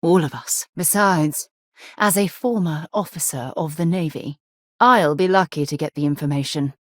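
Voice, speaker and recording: An actress playing a principled, commanding middle-aged woman explorer and former naval officer in a video game, speaking in a crisp, articulate, earnest way. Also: low voice, normal speed, no echo, studio quality. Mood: composed, earnest. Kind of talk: acted video game companion dialogue.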